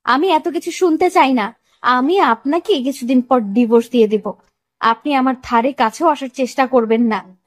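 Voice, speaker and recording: A woman's voice: high-pitched, moderate pace, no room sound. A woman playing an upset young bride in an audio love story, cold, blunt and insistent. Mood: cold, defiant.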